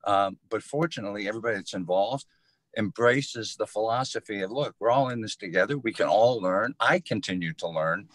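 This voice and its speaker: A man's voice: lowish voice, brisk, dry recording, rough recording. A man giving easygoing, thoughtful, conversational answers as an interview guest from community theater.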